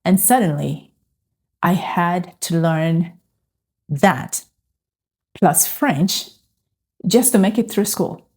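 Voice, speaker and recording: A middle-aged woman tells her story in a calm, earnest way. Her voice is low and mellow. She speaks slowly and reflectively. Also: slightly rough. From Uganda. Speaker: faith and lifestyle vlogger. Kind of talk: personal testimony to camera.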